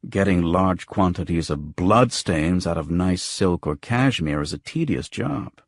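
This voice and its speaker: Husky low-voiced man narrating noir fiction. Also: expressive, a little slow, no echo, clean studio sound. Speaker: audiobook narrator. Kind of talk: scripted first-person noir fiction narration.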